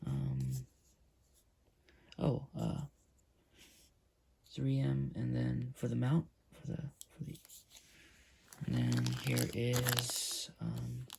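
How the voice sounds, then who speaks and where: A man talks viewers through a hands-on install in an easygoing, unhurried way, pausing often with fillers. His voice is husky with a gravelly edge, at an ordinary pitch. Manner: relaxed, hesitant, frequent fillers. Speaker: YouTube motorcycle gear reviewer. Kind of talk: casual step-by-step install walkthrough.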